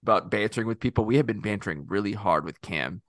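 A man's voice: on the low side, expressive, quick pace, no room sound, studio quality. A young man from Texas co-hosting a podcast, chatting casually in rambling, self-interrupting talk with jokes. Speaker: podcast co-host.

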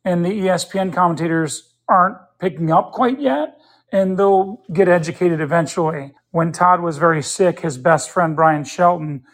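A man's voice: high voice, moderate pace. A man in his fifties, a guest in a casual sports interview, speaking in an earnest, opinionated way as he thinks aloud. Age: 50s. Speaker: interview guest, pickleball player.

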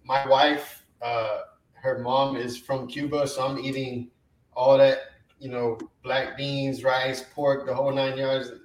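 A man from Colombia co-hosts a casual podcast and rambles easily through his stories. His voice is of middling pitch. He sits away from the mic, and the room adds a clear echo. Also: little pitch movement, takes it slowly, recorded from a distance. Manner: easygoing, rambling. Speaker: podcast co-host. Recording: roomy and off-mic.

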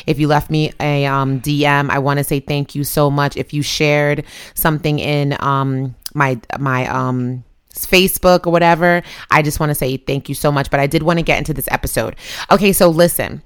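Podcast host, woman, middle-aged, lower register, informal and chatty delivery. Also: steady tone, normal speed, clear, resonant tone. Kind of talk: casual podcast chat. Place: home office with windows open.

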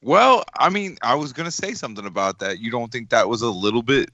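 A man's voice: mid-range voice, expressive, brisk, sharp tone, no echo, muffled recording. A man chatting casually about music as a co-host, slangy and skeptical, with some swearing.

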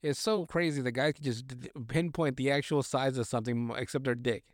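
A man's voice: medium pitch, fairly even intonation, brisk, no room sound, studio quality. A man co-hosting a casual podcast, cutting in with crude jokes and frequent swearing. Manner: crude joking interjections, swears often. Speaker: podcast co-host.

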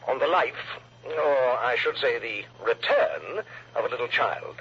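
Fussy, lively-pitched British insurance man. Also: measured pace, husky, full character voice. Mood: flustered, hesitant. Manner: hesitant, roundabout, formal. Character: fussy, long-winded, polite British male insurance manager. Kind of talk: 1960s radio drama acting. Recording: dull old radio recording.

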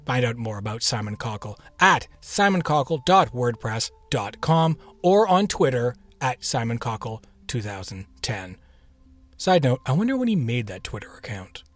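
A man speaks as a podcast host over faint music. His delivery is casual and chatty, with playful rhetorical questions and asides, in a medium-pitched voice. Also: sing-song, moderate pace, a bit bright.

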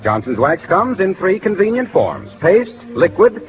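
A polished male announcer in the formal 1940s radio manner, fairly high in pitch and very animated. The recording is thin and phone-like. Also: unhurried, over music.

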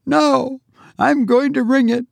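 A man's voice: very high voice, fairly even intonation, takes it slowly, creaky, no echo, voice pushed up, full character voice. A man voicing a desperate, defiant grieving mother in a dramatic story reading, pleading breathlessly and insistently. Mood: frantic, defiant.

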